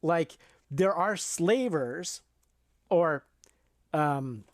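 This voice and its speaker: A woman's voice: sits low, expressive, extremely slow, no echo, studio quality. A woman co-hosting a podcast, casually discussing books and film in a thoughtful, explanatory way. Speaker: podcast co-host.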